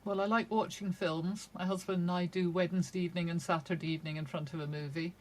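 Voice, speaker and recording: A woman's voice: fairly low pitch, fairly even intonation, fast talker, a little mellow, a little hiss or hum, rough recording. An older woman from Shetland, a crime novelist being interviewed, chatting in a wry, storytelling way. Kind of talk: casual interview chat.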